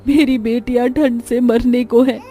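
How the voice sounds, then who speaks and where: A woman's voice: a little high, fairly even intonation, a little slow, warm tone, faint music behind, lightly acted. A woman voicing a poor, desperate widowed mother in a cartoon story, plaintive and imploring. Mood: anguished prayer. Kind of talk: melodramatic Hindi cartoon story acting.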